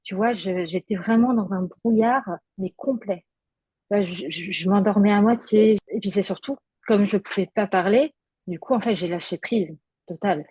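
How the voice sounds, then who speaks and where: A woman's voice: mid-range voice, normal speed, warm tone, husky, dry recording. A woman guest giving a personal testimony in conversation, hesitant, with many fillers and restarts.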